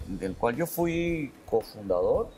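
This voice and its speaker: A man's voice: medium pitch, slow pace. A man, a guest musician, chatting casually and joking on a talk show. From Venezuela. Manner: relaxed, rambling, joking. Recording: music faintly behind.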